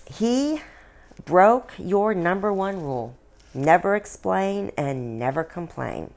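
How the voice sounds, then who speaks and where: A woman's voice: lowish voice, expressive, takes it slowly, vocal fry, a little mellow, heavily acted. A woman voicing a sly, gossipy ghost princess in a satirical storybook tale, speaking in an arch and knowing way. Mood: confiding. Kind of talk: storybook dialogue reading.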